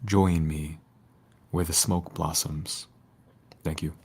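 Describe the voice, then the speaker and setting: A man with a low, husky voice reads spoken-word poetry. His delivery is solemn and measured, with a lyrical flow. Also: fairly even intonation, darkish tone. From Detroit, United States. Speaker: poet.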